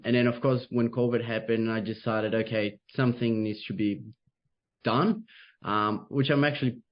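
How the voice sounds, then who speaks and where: A young man from Brazil with a Brazilian Portuguese accent, a real estate agent speaking in an interview over a video call, upbeat and loose, with lots of fillers. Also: mid-range voice. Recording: dull lo-fi video call audio.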